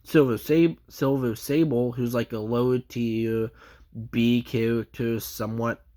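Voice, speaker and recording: A man's voice: medium pitch, steady tone, measured pace, dark tone. A man with a stuffy nose from a cold, giving a casual, rambling comic book review full of fillers and restarts.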